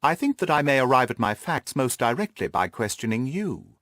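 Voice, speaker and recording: A man voicing a suave, composed detective who questions a client briskly and inquisitively in an audiobook. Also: medium pitch, very animated, fairly bright tone, no room sound, studio quality. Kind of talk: audiobook character dialogue.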